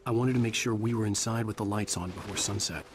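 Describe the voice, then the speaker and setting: A man's voice: lowish voice, steady tone, quick pace, noisy background, lightly acted. A man playing a weary, short-tempered writer in a video game, with brooding, introspective delivery over music. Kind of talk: dramatic game acting with introspective narration.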